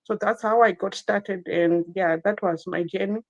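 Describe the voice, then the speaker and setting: A woman's voice: medium pitch, steady tone, moderate pace, vocal fry, lo-fi sound. A woman in her thirties from Zimbabwe tells her own story as a guest in an online interview. She talks earnestly, repeating phrases and stopping now and then for a small laugh.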